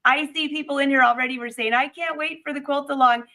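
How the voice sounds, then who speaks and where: A woman hosting a live sewing stream, bubbly and upbeat, her voice a little raspy today. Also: high voice, fairly even intonation, fast, pushed and bright. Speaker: host.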